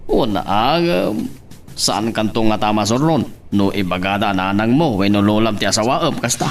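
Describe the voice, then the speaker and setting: A man's voice: fairly low pitch, lively intonation, moderate pace. An actor playing a middle-aged father in a radio soap, questioning and admonishing. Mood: disapproving. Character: disapproving, questioning father of a grown son. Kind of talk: radio soap drama acting. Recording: faint background noise.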